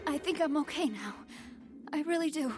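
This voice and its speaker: A woman's voice: sits high, animated, moderate pace. A woman voicing a volatile, ravenous female android villain in an anime game, theatrical in delivery. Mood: shaky, apologetic. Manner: theatrical, swinging from meek to menacing. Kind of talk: dubbed anime game voice acting. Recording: music under the voice.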